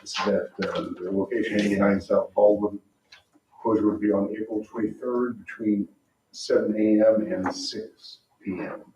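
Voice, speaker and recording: An American man giving a formal staff report to a council, halting and matter-of-fact, in a meeting room. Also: on the low side, level tone, warm tone. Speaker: development director presenting to council. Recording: roomy, distant, muffled, faint noise.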